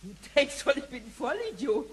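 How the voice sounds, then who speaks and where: A woman's voice: sits high, very animated, normal speed. A woman playing an officious, indignant caretaker who scolds like a busybody in a radio play. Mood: scolding.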